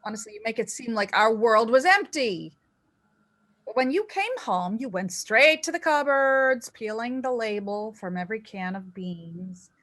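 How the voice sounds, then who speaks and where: An actress in a staged play reading, playing a reproachful dead mother who loves golf, speaking deliberately. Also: a little high, animated, fairly bright tone. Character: narrator's dead mother, scolding golf-loving elderly housewife ghost.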